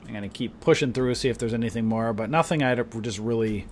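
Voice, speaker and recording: Man, mid-pitched, rambling casual trading commentary, slightly off voice, coughs now and then. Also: fast talker, slight background noise. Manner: rambling, chatty, many fillers like "you know" and "right". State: voice a bit off, coughing and clearing throat. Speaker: stock trading streamer. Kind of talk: casual market commentary to viewers.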